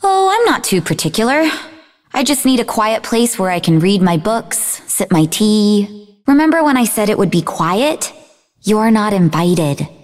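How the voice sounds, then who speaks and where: An actress voicing a sarcastic, sharp-tongued, bookish young half-demon woman in scripted video game dialogue, with a dry delivery. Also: medium pitch, very animated, measured pace, long reverb tail. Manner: dry, sardonic.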